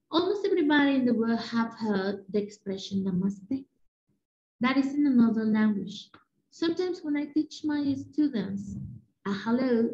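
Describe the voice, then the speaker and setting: A woman from Mexico with a Mexican Spanish accent, a Spanish-speaking teacher giving a lively, chatty conference talk to other teachers over a video call. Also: mid-range voice. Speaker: teacher presenting at an education conference. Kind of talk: presentation lecture over slides. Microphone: laptop or webcam mic on a video call. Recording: echoey room, dull lo-fi sound.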